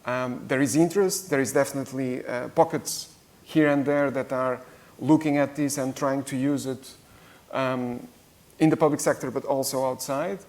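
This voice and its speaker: A man speaking carefully as a conference panelist, pausing and restarting often. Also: mid-range voice, steady tone, darkish tone. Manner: hesitant, careful, many restarts.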